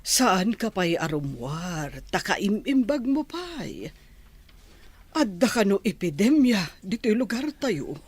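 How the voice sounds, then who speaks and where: A woman playing a concerned, gently scolding mother in a radio drama. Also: mid-range voice, very animated, takes it slowly, breathy edge, full character voice.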